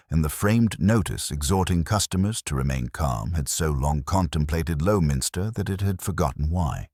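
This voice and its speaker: A man narrating a literary mystery story in a measured, formal, wry manner. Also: deep voice, a little mellow, no room sound, clean studio sound. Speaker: audiobook narrator.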